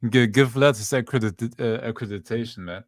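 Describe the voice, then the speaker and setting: A man's voice: mid-range voice, a little fast, dry recording, clean studio sound. A man co-hosting a sports podcast, speaking hesitantly with many restarts and fillers. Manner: hesitant, restarts a lot with uhs. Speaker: podcast co-host. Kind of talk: casual sports podcast banter.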